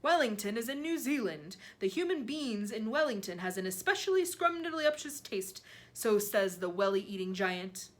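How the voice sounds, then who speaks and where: A woman voicing a huge, boastful but friendly storybook giant who speaks emphatically in drawn-out, muddled comic grammar. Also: medium pitch, very animated, close to an everyday voice. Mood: proud, earnest. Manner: emphatic, drawn-out, mangled words.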